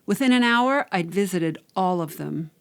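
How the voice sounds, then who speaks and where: Expressive woman narrating a short story. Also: fairly low pitch, moderate pace. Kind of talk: expressive first-person fiction narration.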